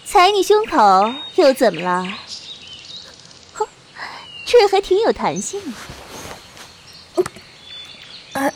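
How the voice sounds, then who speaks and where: A high-pitched, very animated young wuxia heroine acted by a woman, haughty and mocking. She teases with playful menace in an unhurried radio-play delivery, with faint noise and birdsong behind her. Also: heavily acted. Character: teasing, domineering young martial-arts heroine from demonic sect. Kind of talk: Chinese wuxia audio drama acting.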